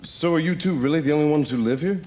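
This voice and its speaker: An actor playing a shady, wisecracking adventurer in a game cutscene, chatty and sarcastic. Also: male voice, on the high side, fast, darkish tone, lightly acted. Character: wisecracking shady male adventurer, middle-aged. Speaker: game character.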